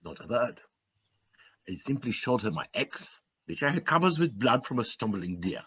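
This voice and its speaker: A man's voice: medium pitch, very animated, moderate pace, fairly bright tone, husky, highs cut off, full character voice. A man acting a bleak, callous woodcutter father from a fairy tale, in a dramatic, confessional stage-play style. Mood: bleak resignation.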